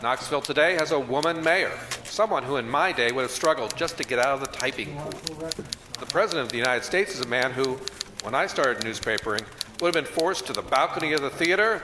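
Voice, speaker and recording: A man's voice: mid-range voice, expressive, fairly quick, background noise, close to an everyday voice. A man playing a wisecracking, old-fashioned newspaper reporter in a radio drama, narrating with hard-boiled, slangy wit. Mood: reflective. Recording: roomy echo, faint music behind.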